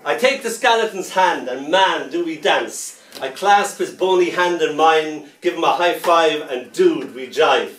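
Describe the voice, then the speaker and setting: A man's voice: fairly high pitch, expressive. Man reading poems, far off. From Ireland. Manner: rhythmic, playful reading, slowing to gentle lullaby lines. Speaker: poet. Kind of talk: poetry reading with anecdotes. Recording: roomy sound from a distant mic.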